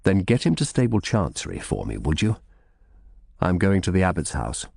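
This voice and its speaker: A man's voice: deep voice, big pitch swings, normal speed, vocal fry, darkish tone, breathy edge, no room sound, clean studio sound, heavily acted. Man playing a brusque, authoritative official. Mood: curt. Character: stern, impatient male royal official, curt.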